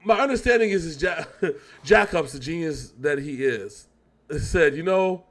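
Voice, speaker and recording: A man's voice: fairly high pitch, animated, measured pace. A man hosting a casual music reaction chat, rambling and often correcting himself as he thinks aloud. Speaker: reaction show host.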